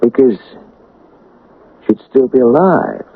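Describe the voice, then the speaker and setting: An actor playing an insinuating, folksy, coolly scheming townsman in a 1980s radio drama, with a raspy, creaky voice. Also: mid-range voice, expressive, takes it slowly, full character voice. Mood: sly, pressing. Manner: folksy, leading, persuasive. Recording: dull lo-fi radio recording.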